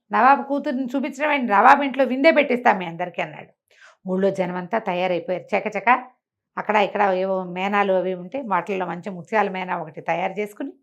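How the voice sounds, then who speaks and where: A woman telling a folk tale in an animated, conversational way, acting out the characters' exclamations. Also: medium pitch. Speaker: storyteller. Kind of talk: animated oral folk storytelling to a listener.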